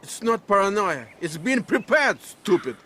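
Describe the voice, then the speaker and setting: A man's voice: sits high, big pitch swings, normal speed, pushed and bright, heavily acted. An actor playing a paranoid, scornful rich man who sneers defensively in a video game cutscene. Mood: sneering.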